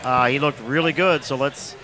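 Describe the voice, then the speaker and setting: A man's voice: medium pitch, steady tone, moderate pace, pushed and bright, soft background music. A man doing color commentary for a baseball game, hesitant and filling his analysis with ums and uhs. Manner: hesitant, filler-heavy analysis. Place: outdoor ballpark.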